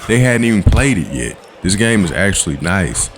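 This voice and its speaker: A man's voice: big pitch swings, background noise. A man, giving laid-back voice-over commentary on his gameplay in a slangy, coaching way. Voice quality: low voice. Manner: laid-back, coaching, slangy with quick "boom" call-outs. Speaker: gaming channel host. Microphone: voice-over recorded separately from the footage.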